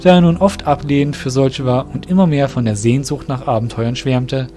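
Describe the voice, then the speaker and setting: A man narrating a fan-made audio drama in a calm, even storytelling manner. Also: mid-range voice, normal speed. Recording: soft background music.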